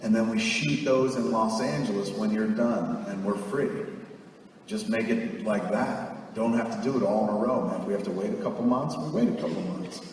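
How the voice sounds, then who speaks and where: A man's voice: fairly low pitch, moderate pace, slight background noise. A man in his forties telling a long profane story on stage, acting out the people he quotes. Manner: profane, animated, acts out quoted voices. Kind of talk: live comedic storytelling. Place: theater stage, live audience. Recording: echoey hall, far from mic.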